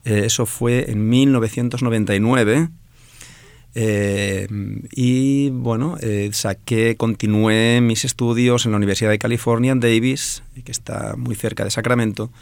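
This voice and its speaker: A man's voice: medium pitch, steady tone, measured pace, creaky, a little mellow, clean tone, no room sound. A man in his forties from Alicante with a Spanish accent from Spain, a Valencian native speaker answering interview questions as a professor, thoughtful and full of hesitations. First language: Valencian/Catalan.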